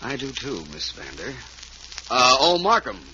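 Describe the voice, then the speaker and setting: An actor playing a suave, wry, confident gentleman detective in a 1940s radio mystery. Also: medium pitch, huge pitch swings, unhurried. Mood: courteous, wry. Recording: noisy, muffled old radio recording.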